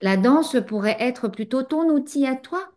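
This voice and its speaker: A woman spiritual teacher, whose first language is not French, answers a student in a satsang, reflective and pausing often with fillers. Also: high voice, expressive, a little mellow.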